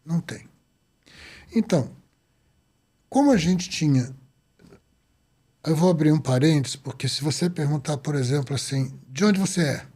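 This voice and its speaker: A man in his seventies from the Azores, a doctor telling his story in an interview, lively and acting out the dialogue he recalls. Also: fairly high pitch, moderate pace, pauses often, breathy edge. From Azores, Portugal. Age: 70s. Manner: lively, acts out quoted dialogue. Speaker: doctor guest.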